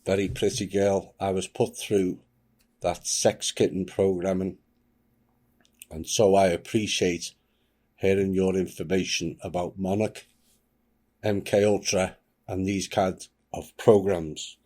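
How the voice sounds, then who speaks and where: A man hosting his channel, reading a submitted account aloud with a grave, measured delivery. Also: sits low, little pitch movement, dark tone. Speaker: channel host.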